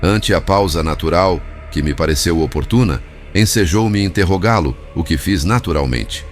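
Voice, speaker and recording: A man's voice: sits low, animated, moderate pace, soft background music. A man reading a spiritual book as a formal, literary scripted narration. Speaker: audiobook narrator.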